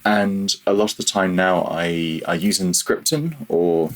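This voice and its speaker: A man speaking as a guest in a casual interview, thinking aloud in a halting, self-deprecating way. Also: low voice, fairly even intonation. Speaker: interview guest, freelance audio developer.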